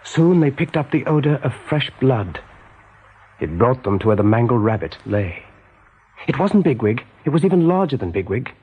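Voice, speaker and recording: A man narrating an animal adventure as a calm storyteller in a radio play. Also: mid-range voice, normal speed, breathy edge, narrow, tinny audio. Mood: grave, measured.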